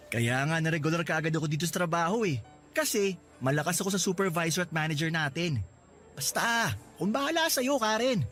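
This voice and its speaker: A man's voice: on the high side, a bit bright. An actor playing a cheerful, joking young male coworker in a radio drama, teasing and boasting playfully. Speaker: Lester.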